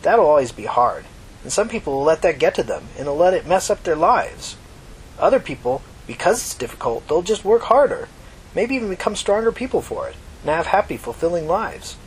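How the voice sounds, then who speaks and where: Man voicing a high-pitched, folksy old woman. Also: very animated, a little fast, slight background noise, rough recording. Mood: reflective, encouraging. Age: older. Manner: folksy, reflective, reassuring. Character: kindly, talkative old New York mother, resilient.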